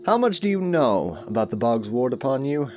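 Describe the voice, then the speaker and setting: A narrator voicing an urbane, aloof, sardonic nobleman with dry, erudite, measured phrasing in an audiobook. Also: medium pitch, very animated, a little mellow, soft background music, lightly acted. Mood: casual, dry. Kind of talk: audiobook character voice.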